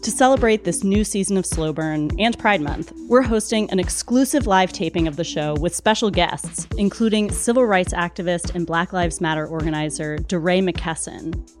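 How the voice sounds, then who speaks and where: A woman podcast host reading a scripted promotional announcement to listeners. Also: lowish voice.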